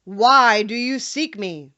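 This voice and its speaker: Woman acting a solemn, imperious great wizard, loud. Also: a little high, slow, dull audio. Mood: solemn, grand. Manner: solemn, grandly pronounced. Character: solemn, booming, self-important male wizard, great and terrible. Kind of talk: audiobook character voice.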